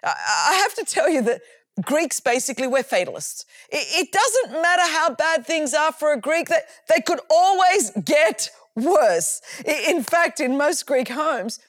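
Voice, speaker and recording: Australian woman preacher, high-pitched, emphatic and chatty, dry close sound. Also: measured pace, no echo. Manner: animated and emphatic, with conversational asides and repeated words. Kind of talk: sermon.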